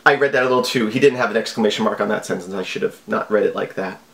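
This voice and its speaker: A man's voice: on the low side, racing, creaky, a bit bright. A man in his thirties giving casual, offhand vlog commentary with a wry manner. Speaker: video host. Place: small home office.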